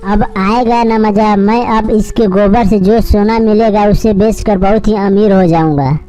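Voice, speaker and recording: A woman's voice: medium pitch, steady tone, unhurried, dark tone, pitched below the performer's own voice, natural voice. Woman voicing a scheming, greedy cartoon bird landlord. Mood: gloating.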